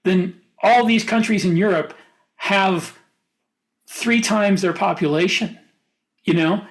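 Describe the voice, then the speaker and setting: A man's voice: high voice, slow. An American man co-hosting a podcast, talking casually and giving opinionated, rambling explanations. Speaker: podcast co-host. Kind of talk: casual panel discussion.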